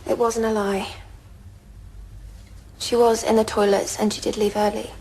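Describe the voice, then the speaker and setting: A woman's voice: mid-range voice, level tone, normal speed, warm tone, slight background noise, natural voice. An actress with an English accent playing a guarded, loyal teenage schoolgirl, reluctant as she answers questions in an audio drama. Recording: faint background ambience.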